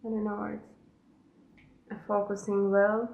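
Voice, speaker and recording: A woman from Lithuania with a Lithuanian accent, hosting a casual knitting podcast from her home in careful, unhurried English. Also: mid-range voice, monotone, roomy sound, dull audio. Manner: unhurried, careful non-native English with pauses. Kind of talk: casual solo podcast chat.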